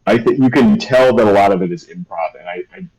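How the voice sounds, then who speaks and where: A man's voice: medium pitch, big pitch swings, quick pace, creaky, a little mellow, rough recording. A young man chatting casually on a live stream, rambling and hesitant with lots of fillers. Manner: rambling, hesitant, self-deprecating. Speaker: streamer and artist.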